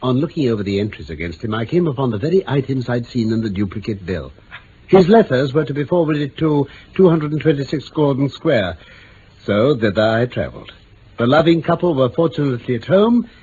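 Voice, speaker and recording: A man playing an astute, courteous English gentleman detective in vintage radio drama, British-accented, precise and theatrical as he explains. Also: medium pitch, very animated, fairly quick, lightly acted. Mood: assured, explanatory. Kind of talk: 1950s-60s BBC radio drama acting. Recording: dull vintage radio recording, faint noise.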